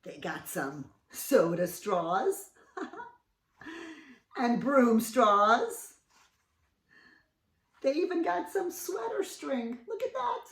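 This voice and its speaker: A woman reading a storybook aloud to children, expressive and sing-song, with questioning asides. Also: high voice, extremely slow, pauses often, distant mic. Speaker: children's read-aloud host. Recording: roomy, set back from mic.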